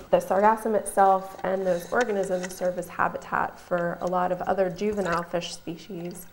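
A woman's voice: lowish voice, vocal fry, a little mellow, a little hiss or hum. A middle-aged woman marine scientist explaining her research in an interview, thoughtful, with a few fillers. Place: office.

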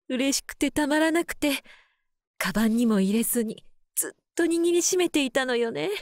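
A woman playing a frail, gentle elderly mother in a voice drama, speaking softly and tenderly as she reminisces. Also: fairly high pitch, no echo, clean studio sound. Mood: fond, wistful. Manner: soft, tender, unhurried. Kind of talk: voice drama acting and first-person narration.

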